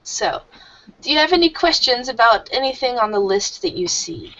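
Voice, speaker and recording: Casual english-class teacher lady, higher voice, slow explaining, muffled call sound. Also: animated, a bit bright. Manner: friendly, explains slowly with fillers.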